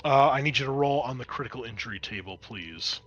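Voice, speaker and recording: A man's voice: medium pitch, a little fast, fairly bright tone, no echo, lo-fi sound. A man acting as game master, narrating a tabletop role-playing game and talking players through the rules.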